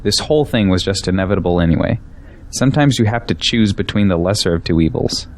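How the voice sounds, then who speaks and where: A man's voice: sits low, fast talker. A young man playing a pragmatic, defensive arguer in an audio drama, with an argumentative delivery. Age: young adult.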